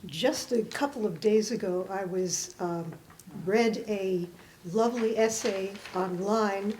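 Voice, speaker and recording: A woman poet presenting and reading their work aloud to a live library audience, with careful, deliberate delivery. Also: medium pitch, background noise. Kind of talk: dramatic poetry reading with spoken introduction. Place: indoor library reading event. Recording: roomy, echoing live event recording.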